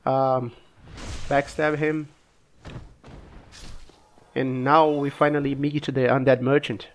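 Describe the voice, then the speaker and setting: A man with a cold casually commenting on his own gameplay, relaxed and halting, coughing now and then. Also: mid-range voice. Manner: relaxed, halting, frequent restarts and fillers. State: sick, coughing.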